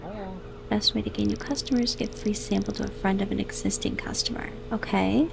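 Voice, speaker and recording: A woman with a soft, high voice talking quietly through a gameplay video for sleep, slipping between a hush and a whisper. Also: fairly even intonation, a little fast, vocal fry, noisy background. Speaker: gamer. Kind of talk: soft-spoken ASMR gameplay.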